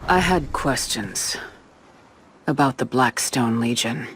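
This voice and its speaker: A man's voice: medium pitch, slow, a little hiss or hum. A man playing an earnest, dutiful knight in a dramatic game cutscene, solemn and resolute. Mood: solemn, troubled. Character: earnest, dutiful male knight warden, questioning his order. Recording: loud music behind. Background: loud music.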